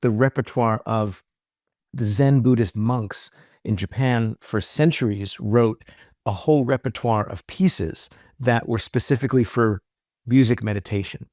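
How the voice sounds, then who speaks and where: A man's voice: mid-range voice, unhurried, a little mellow, dry recording, clean studio sound. A man guest speaking on a podcast, explaining ideas thoughtfully with frequent "um" and "right?". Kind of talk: reflective interview talk.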